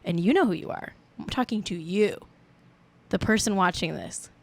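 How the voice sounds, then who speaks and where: A woman's voice: mid-range voice, animated, moderate pace. Young woman host, rambling livestream chat, playful, self-mocking. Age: 20s. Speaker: show host.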